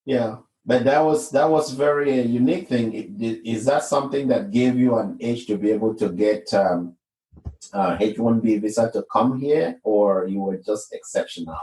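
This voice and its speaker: Male podcast host, lowish voice, encouraging, echoey room, distant mic. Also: normal speed, dark tone. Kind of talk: podcast interview.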